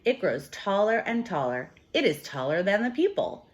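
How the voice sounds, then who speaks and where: A woman teacher reading a picture book aloud to children, with expressive, emphatic delivery. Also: medium pitch, normal speed.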